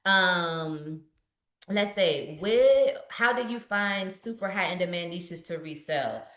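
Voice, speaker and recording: A woman's voice: fairly low pitch, lively intonation, slow pace, fairly bright tone. Lady coach fired up, preachy motivational talk, roomy echo. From Richmond, Virginia. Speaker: business coach. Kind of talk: live webinar Q&A.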